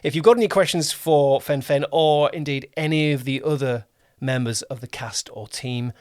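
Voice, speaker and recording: A man's voice: mid-range voice, normal speed, darkish tone, no room sound, clean studio sound. A man hosting a podcast, interviewing a guest in a casual, conversational style.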